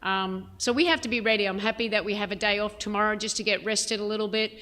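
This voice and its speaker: A woman head coach answering reporters' questions at a press conference, frank and measured, with plenty of "um" and "you know". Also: medium pitch, fairly even intonation, sharp tone. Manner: frank, measured, many fillers.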